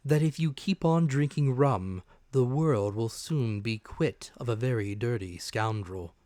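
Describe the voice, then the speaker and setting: A man voicing a stern, fearless doctor and magistrate in a storybook, speaking formally with firm, measured command. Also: mid-range voice, dark tone, lightly acted. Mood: cold, firm.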